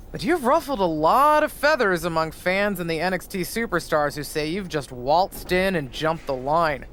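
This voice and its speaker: An actress playing a harried, wry wrestling official in a conversational video game cutscene. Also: female voice, lowish voice, fairly bright tone, slight background noise. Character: harried wrestling show official juggling complaints. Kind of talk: conversational scripted cutscene acting.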